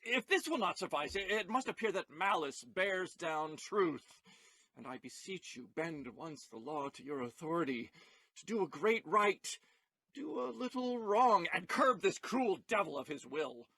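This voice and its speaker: A man playing a passionate, loyal young gentleman in a Shakespeare audio play, speaking earnestly and with feeling. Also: high-pitched, animated, measured pace, dry recording, exaggerated voice. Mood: desperate pleading. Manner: impassioned, earnest.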